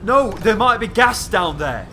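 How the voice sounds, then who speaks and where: Anxious workman, male actor, audio drama; sharp, forceful, urgent pleading, slight outdoor noise. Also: high voice, very animated, unhurried, heavily acted. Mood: worried. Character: anxious, cautious male mill worker pleading for patience.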